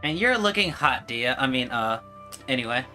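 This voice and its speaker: A man's voice: mid-range voice, lively intonation, moderate pace, sharp tone. A man giving casual live commentary as he streams a video game playthrough. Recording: faint game music behind.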